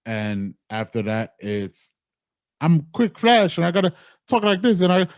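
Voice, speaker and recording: A man cohosting a casual movie review podcast, arguing his points loudly with lots of swearing. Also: fairly high pitch, very animated, unhurried, dry recording, clean studio sound. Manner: loud, profane, argumentative.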